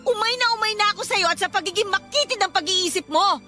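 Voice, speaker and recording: A woman playing an irritable, fed-up, sharp-tongued girlfriend in a Filipino radio drama, with a Filipino accent and a scolding manner. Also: very high-pitched, fast. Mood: defiant, irritated. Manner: snappish, scolding, exasperated. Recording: music behind the voice.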